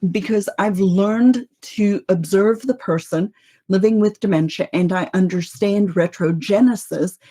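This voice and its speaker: A woman's voice: medium pitch, dark tone. A woman in her fifties from South Africa, with a mixed international accent that keeps faint South African traces, hosting a teaching podcast in a conversational way, asking rhetorical questions and checking in with "right?".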